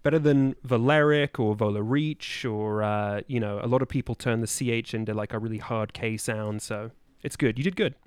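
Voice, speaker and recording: A man's voice: medium pitch, moderate pace, smooth, no echo. A man chatting casually as a podcast guest, wordy and drily playful in how he talks.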